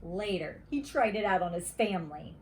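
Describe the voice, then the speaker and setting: A woman reading a bedtime story aloud to young children in an expressive, deliberate teacher's manner. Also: on the low side.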